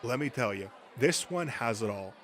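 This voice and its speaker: A man's voice: mid-range voice, lively intonation, moderate pace, fairly bright tone, some chatter behind, slight background noise, voice pushed down, close to an everyday voice. Man playing a pushy, sleazy comic book dealer. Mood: gleeful hawking. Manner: salesman patter, relishing each item in lists. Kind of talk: comic dub character acting.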